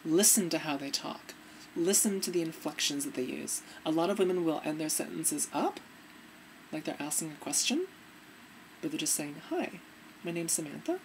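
A young woman talks into her webcam in a dim room, her voice a touch low and noticeably gravelly. She gives earnest, relaxed advice in a casual vlog manner, with faint hiss in the background. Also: moderate pace, low-quality recording. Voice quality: fairly deep, gravelly. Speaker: vlogger.

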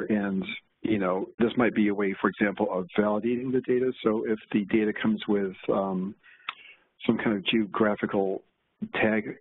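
A man walking through a software demo at a meetup, talking in a halting way with many fillers and restarts. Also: on the low side, husky. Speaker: presenter. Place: meetup room. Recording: tinny, lo-fi meetup recording.

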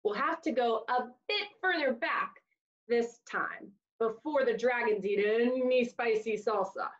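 A woman in her thirties reading a storybook aloud to young children with expressive, exaggerated delivery and dramatic pauses, in a small room. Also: fairly high pitch. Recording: dull, lo-fi webcam audio.

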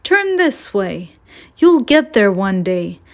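Woman as wise old mother tree, slow pace, patient, gentle, reassuring, storybook character. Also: mid-range voice, sing-song. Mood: gentle, encouraging.

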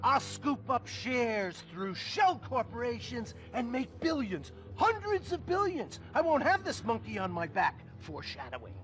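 High, bright, pompous tycoon villain. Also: male voice, sing-song, measured pace, few pauses, soft background music, exaggerated voice, low-quality recording. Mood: gloating. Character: greedy, vindictive, megalomaniac male finance tycoon mentor. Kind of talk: satirical video game film acting.

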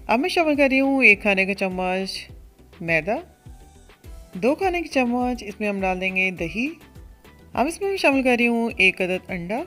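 A woman hosting a cooking channel, narrating a recipe step by step in a steady instructional voice. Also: medium pitch. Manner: steady step-by-step instruction, addresses viewers as friends. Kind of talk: recipe voice-over.

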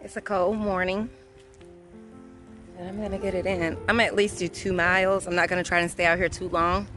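A woman's voice: lowish voice, flat delivery, normal speed, fairly bright tone, faint music behind. Chatty woman vlogging to her phone. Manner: chatty, laughs often, talks to viewers as friends. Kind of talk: casual vlog chat. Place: in a parked car and walking outdoors in a park. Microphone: phone camera held at arm's length.

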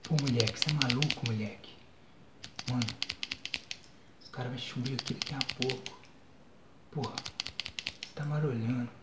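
A man's voice: mid-range voice, normal speed, pauses often. A young Brazilian man doing an ASMR roleplay in agitated, slangy street talk. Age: young adult. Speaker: ASMR creator. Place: small bare bedroom, indoors. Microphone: phone used as microphone, close in front. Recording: roomy, noisy phone recording with clicks.

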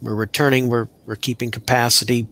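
A man's voice: mid-range voice, little pitch movement, brisk, fairly bright tone. A middle-aged man, a county extension program manager, talking casually over a headset on a video call with dry, easygoing humor. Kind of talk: casual live-stream chat.

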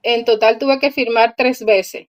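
A woman in her thirties from Cuba talks as a guest on a video call, her voice a bit high. She speaks cheerfully and loosely, laughing easily and trailing off into fillers, from a small room indoors. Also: fairly even intonation, a little slow. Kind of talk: casual chat, telling her experience. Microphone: video call, laptop or phone camera.

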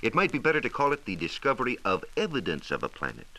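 An American man explaining science in a measured, precise, didactic way, in a scripted 1940s radio style. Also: medium pitch, wildly swooping pitch, bright, forceful tone, low-quality recording. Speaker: science reporter explaining astronomy. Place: radio studio. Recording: hissy 1940s radio transcription disc.

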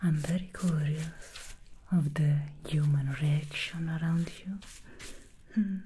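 A woman's voice: low voice, fairly even intonation, slow, warm tone, slight background noise. A woman playing a sly, aloof female demon hair stylist in an ASMR roleplay, whispering and teasing, disdainful of mortals.

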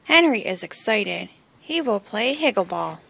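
A woman reading a children's picture book aloud in simple, expressive phrases for young listeners, recorded at home on a phone. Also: mid-range voice, measured pace, creaky. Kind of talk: children's storybook read-aloud. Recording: noisy home recording with clicks.

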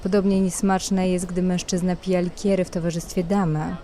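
A woman's voice: on the low side, flat delivery, normal speed, warm tone, a little hiss or hum, close to an everyday voice. An actress playing a poised, flirtatious and possessive society lady, speaking in an elegant, teasing, formal manner in an audio drama. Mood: teasing, assured.

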